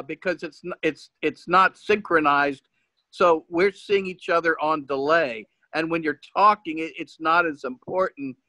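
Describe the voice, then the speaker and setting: A man's voice: fairly high pitch, sharp tone, dry recording, poor audio. A man chatting casually as a club member in an online meeting. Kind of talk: casual chat.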